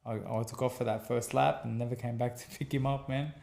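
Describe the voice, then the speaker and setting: Australian man, ex-boxer guest, gravelly and level-toned, slipping into quoted voices as he tells the story. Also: mid-range voice, a little fast, roomy sound. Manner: animated storyteller acting out quotes, repeats phrases, says man a lot. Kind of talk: conversational storytelling.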